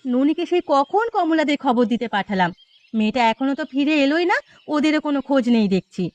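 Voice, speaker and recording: A woman's voice: sits high, animated, quick pace, darkish tone, no echo, muffled recording, soft background music. A woman voicing a caring, chatty village mother with a fussing, homely manner in a cartoon story. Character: caring village mother, young niece, mildly exasperated.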